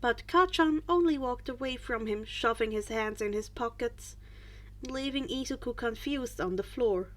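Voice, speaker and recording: A woman's voice: fairly high pitch, normal speed. A woman reading a scripted story aloud as an audiobook-style narrator. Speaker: fan fiction reader and narrator.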